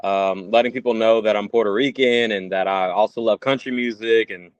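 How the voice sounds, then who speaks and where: Male musician in his thirties, smooth resonant voice, casual interview guest, close dry but slightly lo-fi sound. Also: lowish voice, fairly even intonation, a little fast, no room sound. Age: 30s. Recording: slightly dull, lo-fi audio.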